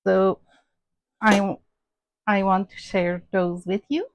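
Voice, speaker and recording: Slow, hesitant woman with a Québécois French accent. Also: medium pitch, steady tone, slight background noise. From Quebec, Canada. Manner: hesitant, many "um" and "uh" fillers. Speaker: crafting channel host. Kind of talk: casual show-and-tell vlog.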